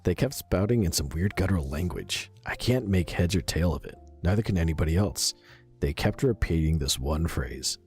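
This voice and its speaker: A man's voice: deep voice, expressive, moderate pace, darkish tone. A man playing an irritable, wary field operative reading out his personal log. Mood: uneasy. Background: music.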